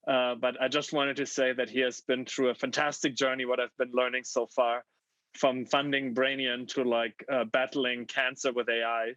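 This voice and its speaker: A man in his thirties moderating a fireside chat over a video call from a home room, friendly and halting, with many fillers and restarts. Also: mid-range voice, little pitch movement, a bit bright, no room sound. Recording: dull, dry video call audio.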